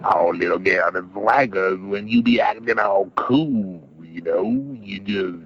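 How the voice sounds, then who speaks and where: A swaggering old blues man, real slow gravelly drawl, boastful and happy, dull lofi sound w guitar behind. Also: sits low, fairly even intonation, fairly bright tone, a little hiss or hum. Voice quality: gruff, throaty, gravelly.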